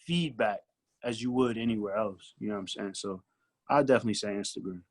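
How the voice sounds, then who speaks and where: A man's voice: fairly low pitch, quick pace. A man speaking as a guest artist in a casual podcast discussion, colloquial and thinking aloud with lots of filler phrases. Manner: colloquial, thinks aloud with repeated "like" and "you know".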